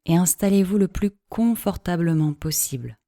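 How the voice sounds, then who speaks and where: Female meditation guide, calm and measured, mellow tone, close dry sound, soothing instructional delivery. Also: lowish voice, dry recording. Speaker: yoga nidra teacher.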